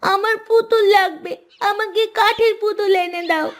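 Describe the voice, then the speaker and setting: Very high-pitched pleading little girl. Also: fairly even intonation, normal speed. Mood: whiny, insistent. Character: pleading, insistent little daughter, childlike and whiny. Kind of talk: cartoon voice acting.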